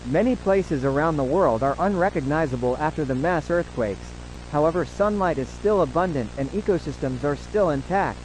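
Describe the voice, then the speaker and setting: A solemn government emergency announcer played by a man, speaking in a slightly high male voice. The delivery is formal and measured, like an official survival bulletin in an audio drama. A faint hum and hiss sit behind the words. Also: expressive, darkish tone, lightly acted, low-quality recording. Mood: solemn, instructive. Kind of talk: scripted emergency broadcast narration.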